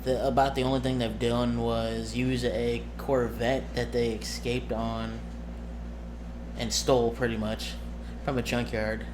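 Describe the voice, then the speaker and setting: A man talking casually to his viewers as a streamer and game master, halting and rambling as he corrects himself. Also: mid-range voice, little pitch movement, background noise.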